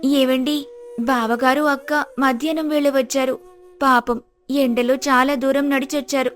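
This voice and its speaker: A woman voicing a kind, polite poor village wife in a moral story, respectful and soft-hearted. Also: sits high, flat delivery, unhurried, soft background music. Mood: worried, caring. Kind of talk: storybook dialogue acting.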